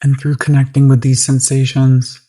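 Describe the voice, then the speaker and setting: A meditation guide speaking gently and soothingly, unhurried, with long pauses between phrases. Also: medium pitch, completely flat delivery.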